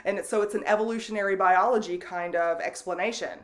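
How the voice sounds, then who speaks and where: A woman instructor giving a recorded online lecture, speaking loosely and conversationally with frequent ums and restarts and a loud voice. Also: medium pitch, steady tone, quick pace. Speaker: college instructor.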